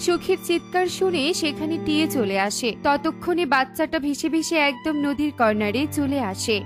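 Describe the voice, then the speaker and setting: Woman narrator for a kids story, pretty high voice, music playing under her. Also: animated, normal speed. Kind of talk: scripted children's story narration.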